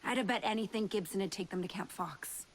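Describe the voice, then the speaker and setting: An actress playing a serious rebel fighter in a video game, giving matter-of-fact intelligence reports. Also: female voice, medium pitch, quick pace, a little hiss or hum, natural voice. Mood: grim. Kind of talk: video game cutscene acting.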